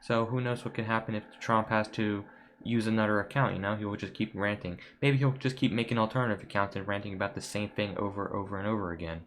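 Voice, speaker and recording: A man's voice: lowish voice, steady tone, fast talker, a bit bright, a little hiss or hum. A young man with an American accent gives casual, rambling, offhand commentary to his viewers. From United States. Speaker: video creator. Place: room at home.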